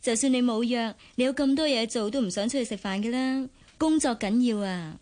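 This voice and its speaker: A woman's voice: a little high, a little fast, darkish tone, close to an everyday voice. An actress playing a considerate, romantic young female secretary, soothing and demure, in a Hong Kong Cantonese radio drama.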